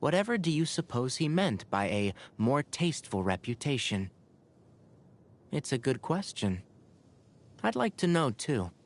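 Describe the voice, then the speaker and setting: A man voicing a young fox-eared forest ranger in a video game, a scholarly mentor who talks in an articulate, wry, gently lecturing way. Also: medium pitch, big pitch swings, unhurried, pauses often. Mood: puzzled. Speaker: video game character voice actor. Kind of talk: game character voice lines, conversational monologue. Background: background music.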